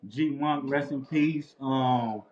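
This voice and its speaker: A man from Los Angeles telling his life story in a relaxed, casual way, full of street slang and repeated "bro". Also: medium pitch, slow, echoey room, muffled recording. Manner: laid-back, repetitive street slang with frequent "bro". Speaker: interview guest. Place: outdoors near a house.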